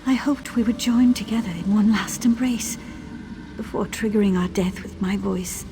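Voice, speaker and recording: A woman voicing a forlorn, lovelorn figure in a video game, speaking in a plaintive, hushed, dramatic way. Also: medium pitch, moderate pace, music in the background, lightly acted. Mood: sorrowful regret. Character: forlorn, lovelorn woman waiting for her beloved. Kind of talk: video game character acting.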